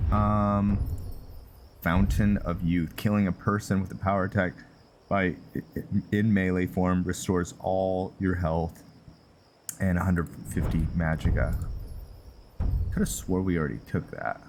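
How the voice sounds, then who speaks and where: A man with a low voice talks through a video game at a slow pace. He rambles and thinks aloud in a casual way, with clear noise behind him. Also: fairly even intonation. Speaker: gaming channel host. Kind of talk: casual game roleplay commentary. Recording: clearly noisy background.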